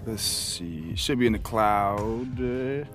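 Young American college athlete, music behind. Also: male voice, on the low side, slow. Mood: awkward, apologetic. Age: young adult. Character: young male college basketball star, easygoing, modest. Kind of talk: casual conversational game cutscene acting.

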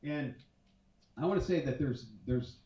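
A man's voice: a little high, a little slow, mellow. A man in his forties giving a conference talk on stage with a handheld mic, conversational and full of fillers and restarts. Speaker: conference speaker. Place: large dark auditorium stage. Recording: roomy hall sound from a distance, muffled.

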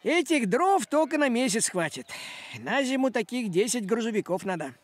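A man voicing a thrifty, grumbling male cat with sardonic practicality in an animated cartoon. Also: very high-pitched, normal speed, noisy background. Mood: indignant.

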